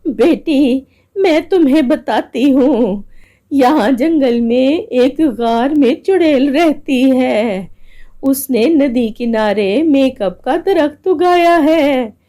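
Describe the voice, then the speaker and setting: Woman playing a kindly old village woman, slow. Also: sits high, mellow. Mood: advising.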